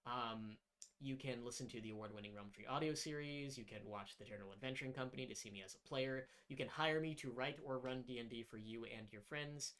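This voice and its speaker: A man's voice: mid-range voice, fairly quick, a bit bright, rough recording. A man who is the dungeon master, thanking viewers and plugging his work in a casual, upbeat outro after a tabletop game session. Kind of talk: casual post-game chat and stream outro.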